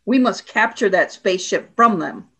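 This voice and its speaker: A woman's voice: medium pitch, expressive, normal speed, bright, forceful tone, slightly rough, full character voice. An imperious alien warrior woman, acted by a woman for a radio play. Her mid-range voice is cold and formal. She speaks as one used to command, stern and haughty. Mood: cold, severe.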